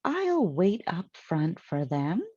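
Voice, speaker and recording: A woman's voice: lowish voice, huge pitch swings, takes it slowly, warm tone, breathy edge, no echo, dull audio, exaggerated voice. A woman voicing a cheerful, naive, literal-minded housekeeper from a children's storybook, earnest and exclamatory. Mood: musing, upbeat.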